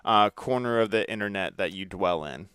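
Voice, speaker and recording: A man's voice: on the low side, moderate pace, a bit bright, clear, resonant tone, no room sound. A man hosting a podcast interview in a casual, laid-back conversational way. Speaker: podcast host.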